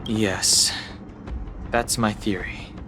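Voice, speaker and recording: An actor playing a calm, analytical young man in a video game, measured and matter-of-fact. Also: fairly low pitch, music in the background. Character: calm, analytical young man explaining theories to his crewmates.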